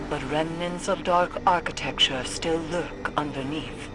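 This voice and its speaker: A woman playing a grave, cryptic seer in a video game, speaking solemnly and deliberately with an ominous manner. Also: low-pitched, steady tone. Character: grave, cryptic female seer of dark magic. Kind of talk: video game character acting.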